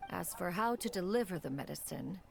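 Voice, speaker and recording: A woman's voice: on the low side, expressive, a little fast, gravelly, a little hiss or hum. An actress playing a proud, formal, ancient crane spirit woman in a video game, speaking in a stately, archaic manner. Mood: measured, proud. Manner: stately, archaic, refers to self as "one". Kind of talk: scripted game voice acting, storytelling.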